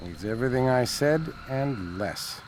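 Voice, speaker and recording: An actor playing a wily, sardonic little gnome-like creature in a fantasy video game, dry and mocking. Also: male voice, mid-range voice, animated, unhurried, a little hiss or hum, close to an everyday voice. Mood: sarcastic. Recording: faint music underneath.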